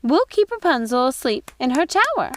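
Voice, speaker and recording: A woman voicing a greedy, childish witch sister with a shrill squeal. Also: sing-song, moderate pace. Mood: gleeful.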